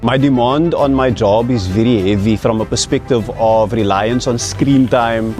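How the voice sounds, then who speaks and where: A man speaks fluently and confidently in an interview-style testimonial. His voice has a middle pitch, with light music in the background. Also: darkish tone. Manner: fluent, confident self-presentation. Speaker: content creator giving a testimonial.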